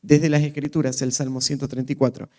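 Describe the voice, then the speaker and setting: A man's voice: mid-range voice, little pitch movement, quick pace, darkish tone. A man working as a church interpreter, relaying a speaker's words live in short turns.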